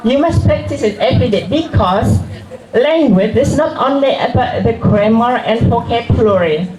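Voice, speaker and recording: A woman speaking slowly with repeated examples. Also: fairly high pitch, lively intonation, a little mellow, echoey room, poor audio. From Turkey. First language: English. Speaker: guest speaker. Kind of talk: school language talk. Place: school hall.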